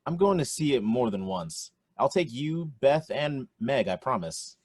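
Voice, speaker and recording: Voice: mid-range voice, very animated, a little slow, slightly rough, dry recording. An actor playing a friendly, eager and slightly awkward teenage boy in a radio play, hesitant and earnest. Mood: placating.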